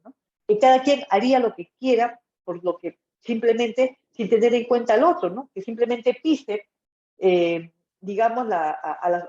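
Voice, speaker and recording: A Peruvian woman teaches in an informal lecture style, with a soft-edged, mellow voice. She explains didactically and keeps checking that listeners follow with brief tag questions. The sound is dull and muffled, with plenty of room echo around her. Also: medium pitch. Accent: Peruvian Spanish. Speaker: psychology lecturer.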